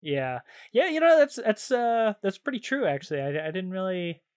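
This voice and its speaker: A man's voice: sits high, very animated, moderate pace, darkish tone. A man co-hosting a podcast, chatting casually in a rambling, slangy, enthusiastic way about games and shows. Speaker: podcast co-host.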